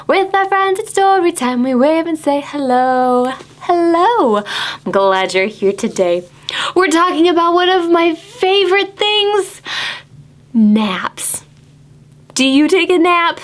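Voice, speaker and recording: Slow, high-voiced woman reading to preschoolers. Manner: animated, exaggerated, asking the children questions. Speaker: children's librarian, storytime host. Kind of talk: children's picture-book read-aloud and singalong.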